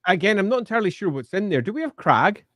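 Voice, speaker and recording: Middle-aged guy on a stream, pitch jumps all over, playful and theatrical, dry close sound. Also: big pitch swings, quick pace, fairly bright tone, no room sound. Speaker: co-host. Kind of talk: casual live-stream chat.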